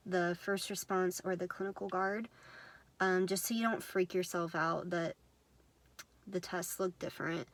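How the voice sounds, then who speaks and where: A woman with a high, girlish, nasal voice from a stuffed-up nose, talking casually to camera with many fillers and apologies. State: congested from sneezing. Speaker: vlogger. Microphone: phone.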